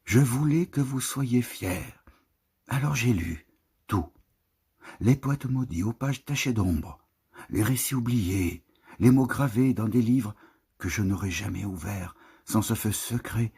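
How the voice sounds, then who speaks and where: Husky, slow-paced man reciting poetry. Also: fairly low pitch, a little mellow. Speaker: poetry reader. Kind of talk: literary poetry recitation, scripted narration.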